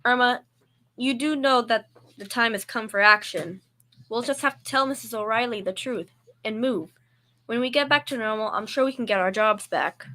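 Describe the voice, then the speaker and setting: A bright, high-set voice of a schoolgirl actress plays a young working woman in an old-time radio comedy. She is sensible and affectionate, with a weary edge. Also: moderate pace. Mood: worried, resolute. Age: middle school student.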